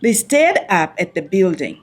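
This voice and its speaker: A woman reading a picture book aloud to young listeners in an expressive storytelling style, phrasing deliberately with dramatic pauses. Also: fairly low pitch, soft background music. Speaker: children's book reader.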